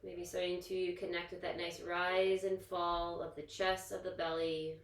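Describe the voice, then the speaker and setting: Gentle low-voiced woman guiding yoga relaxation, echoey room. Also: flat delivery, a little hiss or hum. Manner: soothing, gentle, informal guiding with pauses. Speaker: yoga instructor. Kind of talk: guided relaxation in a yoga class. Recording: echoey, roomy sound.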